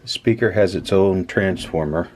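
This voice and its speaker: A man giving a casual hands-on explanation as a hobbyist host, pausing mid-phrase as he thinks aloud. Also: low voice, a little mellow. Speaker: host of a vintage electronics repair channel.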